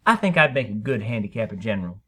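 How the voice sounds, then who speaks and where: A man's voice: fairly low pitch, very animated, fast talker, fairly bright tone, breathy edge, full character voice. A man voicing a simple-minded, kindly housewife in a folksy, naive, chatty way for a story reading.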